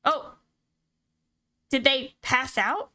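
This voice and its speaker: A woman's voice: sits high, lively intonation, drawn-out words, sharp tone. A woman streaming a game, reading its text aloud and reacting in a playful, theatrical way. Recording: clear background noise.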